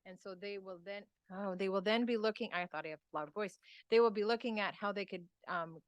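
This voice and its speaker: A woman's voice: medium pitch, fairly quick, dry recording, highs cut off. A woman from the extension staff, explaining a grant proposal to a county committee in a conversational way and answering questions. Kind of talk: committee meeting presentation.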